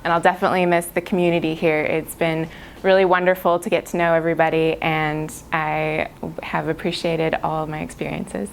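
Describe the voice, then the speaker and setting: A young woman, a graduating student, speaking gently and appreciatively about her department. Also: low voice, level tone, a little fast, gravelly, a little hiss or hum. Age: young adult. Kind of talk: casual personal reminiscence.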